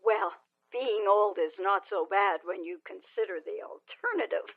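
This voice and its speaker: A woman's voice: medium pitch, normal speed, vocal fry. An actress playing a witty, guarded elderly grandmother in an audio drama, wry and teasing, heard through a telephone filter. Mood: wry, playful. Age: older. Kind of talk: audio drama acting, phone reunion. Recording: tinny, narrow-band phone line.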